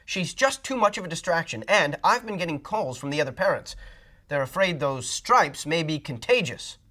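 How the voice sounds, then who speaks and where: Man voicing a formal school principal, higher voice, apologetic, lively. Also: moderate pace. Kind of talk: storybook read-aloud voice.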